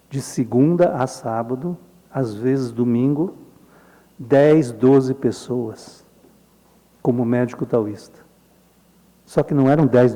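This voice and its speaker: Slow-talking Brazilian man lecturing in a hall. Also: medium pitch, dark tone. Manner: conversational, frequent tag questions, chuckles often. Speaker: Jungian analyst lecturing at a symposium. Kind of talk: informal symposium lecture with slides.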